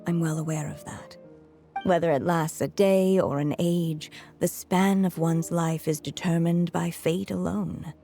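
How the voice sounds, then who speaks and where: A woman playing a frail but resolute elderly writer in a video game, measured and reflective, with a breathy voice that weakens into whispers. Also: fairly low pitch, fairly even intonation, dark tone. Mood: solemn resolve. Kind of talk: dramatic video game voice acting. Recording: music under the voice.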